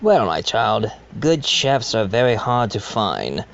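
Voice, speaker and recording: A man's voice: fairly low pitch, sing-song, creaky, fairly bright tone, dull audio, a little hiss or hum, exaggerated voice. A man playing a genial but scheming wealthy host in an amateur murder-mystery audio drama, speaking formally and courteously. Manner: formal, deliberate, addresses guests courteously. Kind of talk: amateur audio drama acting, part narration.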